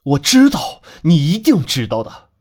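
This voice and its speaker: Man voicing a brooding, low-voiced grieving farmer. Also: a little fast, darkish tone, husky. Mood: enraged. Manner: terse, menacing, insistent. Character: grieving, brooding middle-aged farmer father turned killer.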